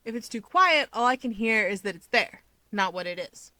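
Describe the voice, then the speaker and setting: A woman's voice: medium pitch, expressive, moderate pace, sharp tone, no echo. A woman voicing an upbeat, self-assured young male hero with wings, explaining things chattily in an audio story. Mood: earnest, explaining. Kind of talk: fan fiction audiobook character dialogue.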